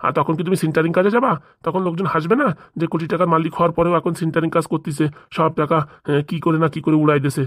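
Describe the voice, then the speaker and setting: A man's voice: a little high, fairly even intonation, very fast, a bit bright, dry recording, studio quality, close to an everyday voice. A man voicing a pushy, scheming father-in-law in a comic cartoon, badgering with questions. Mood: nagging, pushy. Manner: badgering, nagging with rhetorical questions. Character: pushy, scheming father-in-law pressing his son-in-law for money.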